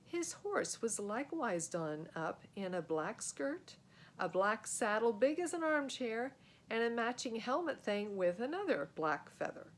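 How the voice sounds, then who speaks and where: A woman reading a children's story aloud in an expressive scripted narration. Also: a little high, a little slow. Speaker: storybook reader.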